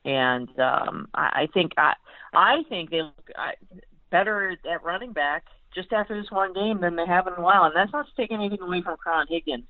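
An American woman talking sports as a guest analyst in a halting, conversational way, often repeating and rephrasing her words. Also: lowish voice, no room sound. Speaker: sports beat writer, podcast guest. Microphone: phone call. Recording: tinny narrowband phone line.

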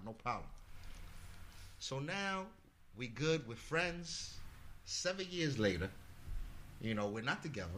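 A man from the Bronx telling a story as a podcast guest, animated, swearing freely and acting out what people said. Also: mid-range voice, slight background noise. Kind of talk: casual storytelling.

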